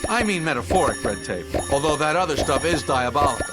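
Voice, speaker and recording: A man's voice: on the high side, lively intonation, fast talker, close to an everyday voice. A man playing an earnest, wisecracking young bee hero in a cartoon video game. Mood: chatty, skeptical. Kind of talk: animated video game cutscene acting. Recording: loud music with jingling behind.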